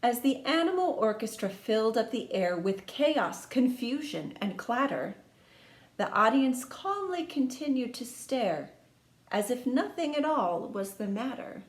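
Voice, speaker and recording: A woman reading a children's storybook aloud in an expressive, sing-song storytime manner, speaking to young listeners like a teacher. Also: a little high, measured pace. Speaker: music teacher reading a picture book to children. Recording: echoey room.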